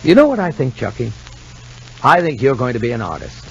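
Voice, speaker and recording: An actor playing a kindly, patient doctor in a 1950 radio soap opera, gently coaxing and encouraging in a folksy way. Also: male voice, medium pitch, big pitch swings, fairly quick, vocal fry. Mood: gentle, encouraging. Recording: muffled, noisy 1950 radio recording.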